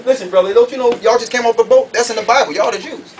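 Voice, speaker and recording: High-pitched man preaching emphatically, distant mic. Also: quick pace. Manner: emphatic, rhetorical questions, confrontational. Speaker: preacher, conference speaker. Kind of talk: fiery doctrinal sermon to an audience. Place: conference room or hall. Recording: distant mic, noisy room ambience.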